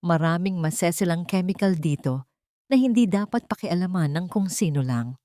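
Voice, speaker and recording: A woman voicing a scheming female doctor who feigns gentle concern, speaking in a measured, falsely caring way. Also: lowish voice, expressive, no room sound, studio quality, natural voice. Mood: feigned patience.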